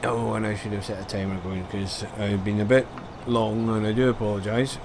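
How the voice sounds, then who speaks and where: A man's voice: fairly low pitch, level tone, fairly quick, background noise. A man giving rambling, self-deprecating commentary over a farming game, full of fillers. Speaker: gaming video creator. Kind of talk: casual gameplay commentary.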